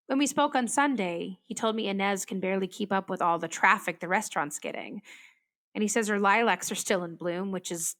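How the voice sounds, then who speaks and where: A woman's voice: medium pitch, fairly bright tone, close to an everyday voice. An actress playing a reflective female meteorologist who chases storms, thoughtfully confiding in a hesitant, wondering monologue for a radio play. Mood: reflective, hopeful. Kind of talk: confessional monologue in an audio drama.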